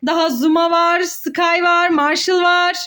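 A woman hosting a children's play challenge as a mother, giving excited, encouraging play-along commentary in a sing-song way with repeated words. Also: very high-pitched, unhurried, soft background music.

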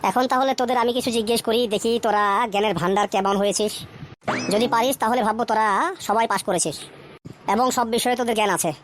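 A woman plays a friendly middle-aged cartoon uncle, approving and a bit schoolmasterly as he tests the kids. The voice sits fairly high for an uncle. A clear hiss runs under it. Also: fairly even intonation, fast talker, natural voice.

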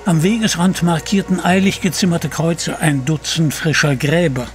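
A man's voice: fairly high pitch, steady tone, moderate pace, soft background music. A man giving scripted dramatic narration for an audio drama.

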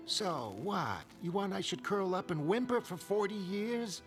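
A man acts out an elderly mother from a dramatized comic book. She is folksy and chatty, fussing and forgiving with a wistful streak. Her slow, drawn-out delivery rises and falls a lot, with music clearly behind it. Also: sits high, big pitch swings, pitched above the performer's own voice. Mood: chatty, insistent. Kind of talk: dramatic comic-book narration in character voices.

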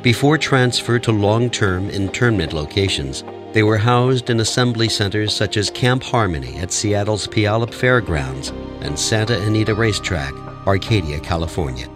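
A man narrates a documentary in a measured, formal read, his voice sitting a little low. Music plays clearly behind him. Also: animated. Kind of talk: scripted narration.

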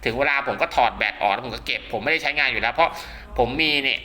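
A man's voice: medium pitch, quick pace, pushed and bright, low-quality recording. A man giving a casual spoken how-to demonstration, often checking that listeners follow along. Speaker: radio hobbyist presenter.

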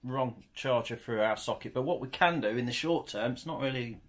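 A man's voice: medium pitch, fairly quick. A man in his forties, talking himself through a hands-on product review in a home garage, on a camera mic a few feet away. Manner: chatty, talks himself through each step. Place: home garage workshop, indoors. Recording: noisy garage recording.

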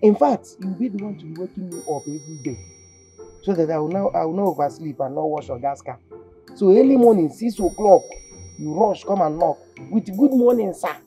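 A woman's voice: fairly low pitch, lively intonation, brisk, mellow, soft background music, lightly acted. A woman with a Nigerian accent playing a scheming, lecherous male house steward who bullies and mocks the maid in a comedy skit. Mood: bossy. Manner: mocking, bullying, laughing.

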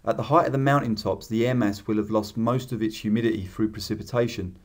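A man narrating a scripted instructional voice-over, explaining in a measured, technical way. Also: fairly low pitch, fairly even intonation. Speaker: paragliding instructor.

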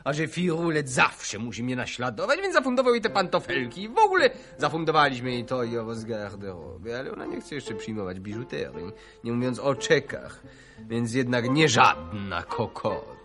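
A jealous, dissolute young aristocrat in a radio operetta. His delivery is affected and theatrical, with animated intonation over music. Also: mid-range voice, moderate pace. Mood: smooth, explaining. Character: dissolute, jealous young count, a prince's son. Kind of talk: grotesque radio operetta acting. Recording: 1980 radio play with music behind.

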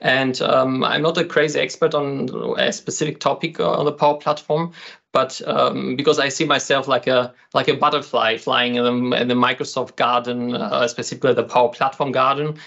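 A man's voice: mid-range voice, little pitch movement, moderate pace, creaky, a bit bright, dull audio. A man in his thirties, from Albania, presenting a session on an online community call, hesitant with many fillers and repeated words. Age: 30s. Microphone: computer mic on a video call.

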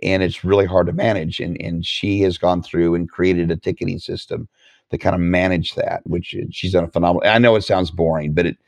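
A man with a very low voice hosts a podcast, asking his guests questions in a relaxed, chatty way. He often restarts and repeats words. The sound is dry and close. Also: brisk, creaky, no echo. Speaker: podcast host. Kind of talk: casual conversational interview.

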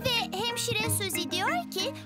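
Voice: very high-pitched, expressive, measured pace. A performer voicing a bossy, chatty little girl pig in a children's cartoon, with an emphatic manner. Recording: music bed behind the voice.

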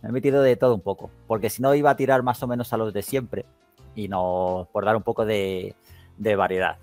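A man's voice: fairly low pitch, steady tone, a little fast, a little mellow, soft background music. A man from Spain with a Castilian accent, chatting casually and in slangy colloquial terms as a gaming podcast host. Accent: Castilian Spanish. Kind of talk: casual chat.

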